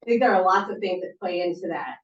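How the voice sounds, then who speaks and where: A woman therapist chats through audience questions about sleep, loose and informal with lots of fillers and restarts. Her voice sits a little low. It is picked up from a distance in an echoey room, slightly muffled. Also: quick pace, slight background noise. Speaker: sleep therapist giving a talk. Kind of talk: casual talk answering audience questions. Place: meeting room at a support center.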